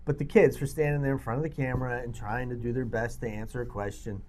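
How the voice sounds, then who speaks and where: A man's voice: medium pitch, steady tone, fast talker, a little mellow, a little hiss or hum. A man co-hosting a talk show in casual banter, speaking in an emphatic, teasing, argumentative way.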